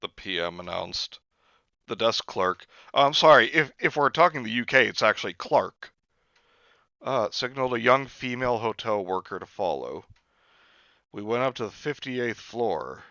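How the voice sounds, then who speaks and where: A man's voice: mid-range voice, animated, bright, forceful tone, dry recording, muffled recording. Sarcastic man mocking a book he reads. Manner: sarcastic, mocking, reads passages slowly then rants. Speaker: podcast host reading a book aloud. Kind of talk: comedic book-reading commentary.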